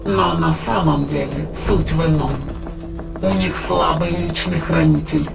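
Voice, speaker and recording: Gravelly, solemn demonic spirit. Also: mid-range voice, lively intonation, unhurried. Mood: solemn, menacing. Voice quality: gravelly, heavily creaky. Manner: solemn, declamatory, archaic wording. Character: sinister ancient demonic spirit, solemn, gloating, coldly patient. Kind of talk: dramatized audio play, formal archaic monologue. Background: dramatic background music.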